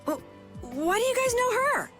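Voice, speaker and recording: A woman voicing an eager, naive young boy adventurer in a video game, earnest and excitable. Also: extremely high pitch, moderate pace, music in the background. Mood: curious. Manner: earnest, boyish. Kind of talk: video game dub acting.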